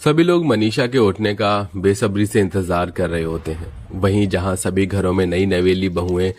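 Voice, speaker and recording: Low-pitched male storyteller. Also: moderate pace, darkish tone, slight background noise. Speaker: narrator. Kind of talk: scripted story narration.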